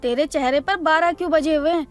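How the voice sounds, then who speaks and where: A woman playing a middle-aged, caring mother in a cartoon story, brisk and practical as she advises her child. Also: sits high, faint music behind, close to an everyday voice. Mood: concerned, teasing. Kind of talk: Hindi animated moral story dialogue.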